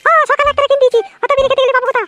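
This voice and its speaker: A young village daughter-in-law from a comedy cartoon, squeaky and extremely high. She grumbles and scolds at a very rapid clip. Music plays clearly behind her. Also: flat delivery, a bit bright. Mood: grudging. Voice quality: squeaky, artificially shrill cartoon voice. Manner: complaining, scolding.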